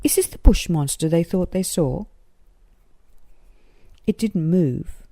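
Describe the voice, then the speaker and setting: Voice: medium pitch, animated, slow pace, warm tone, dry recording, clean studio sound. A narrator reading a children's story aloud from a script.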